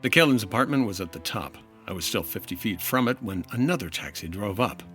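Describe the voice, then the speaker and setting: A man's voice: fairly low pitch, expressive, fairly quick, music in the background, natural voice. An American man playing a wry, exasperated insurance investigator in the style of old-time radio detective narration. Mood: dry narration. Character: hardboiled 1950s male insurance investigator, dry, exasperated.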